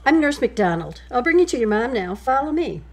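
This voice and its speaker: A woman acting as a courteous hospital nurse in an audio drama. Her voice is a bit high, with plenty of creak and a husky grain. She sounds calm, helpful and matter-of-fact. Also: brisk. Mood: calm, businesslike. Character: calm, courteous female intensive care nurse.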